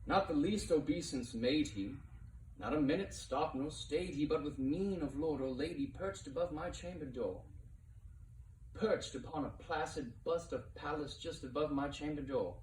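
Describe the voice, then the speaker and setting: A man giving a dramatic poetry reading as narrator, theatrical in manner, swelling from hushed to impassioned delivery. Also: a little high, normal speed. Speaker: narrator reading a poem aloud. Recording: roomy, distant mic with faint noise.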